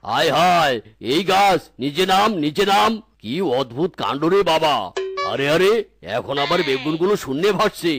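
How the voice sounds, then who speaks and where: A man's voice: on the high side, animated, normal speed, creaky. A man voicing a short-tempered tiger uncle in a cartoon, grumbling and exclaiming as he talks. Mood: bewildered. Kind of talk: cartoon character acting. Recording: music behind the voice.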